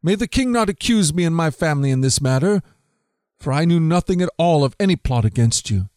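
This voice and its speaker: A man's voice: on the high side, animated, fairly quick, darkish tone, dry recording, pitched above the performer's own voice, natural voice. A frightened male priest pleads with his king, deferential and earnest, his voice lifting slightly, as a man reading scripture puts him on. The voice is close and dry, with no room around it. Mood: pleading.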